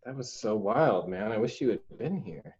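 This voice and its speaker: A man co-hosting a casual live-stream chat, laughing often and bantering quickly. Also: on the low side, lively intonation, a little mellow, highs cut off. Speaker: co-host.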